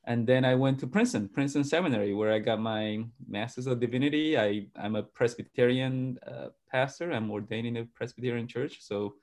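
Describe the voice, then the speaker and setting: A man in his forties from Argentina, a pastor and sociologist answering interview questions. He speaks haltingly, often repeating words and restarting his sentences. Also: medium pitch, a little mellow. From grew up in Argentina.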